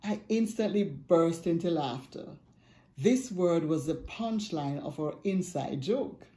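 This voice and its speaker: An older woman reads aloud at an unhurried pace, her mellow, lowish voice rising and falling with lively storytelling emphasis. She sounds close to a desk webcam in a quiet home study. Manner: expressive, warm storytelling with dramatic emphasis. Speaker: author reading a foreword. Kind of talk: reading aloud from a book. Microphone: webcam at desk, close framing.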